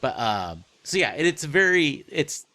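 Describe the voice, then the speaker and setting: A man co-hosting a casual book discussion podcast, speaking haltingly and often circling back to rephrase. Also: fairly high pitch, very animated, sharp tone. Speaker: podcast co-host.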